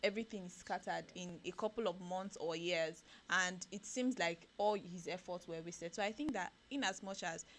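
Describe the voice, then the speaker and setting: A Nigerian woman reads out newspaper headlines on a radio breakfast show, then talks them over in an animated way. Her voice sits a little low, and a faint noise hums under the studio sound. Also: normal speed, hardly any pauses. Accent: Nigerian English. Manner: reads headlines then comments animatedly, rising when excited. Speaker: radio breakfast show co-host reading news. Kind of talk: newspaper review with commentary.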